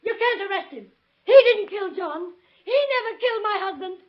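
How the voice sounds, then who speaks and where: An actress playing a distraught, defiant middle-aged farm wife, pleading and vehement, in a 1930s radio melodrama. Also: female voice, very high-pitched, lively intonation, moderate pace. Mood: desperate, defiant. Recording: dull, muffled vintage radio recording.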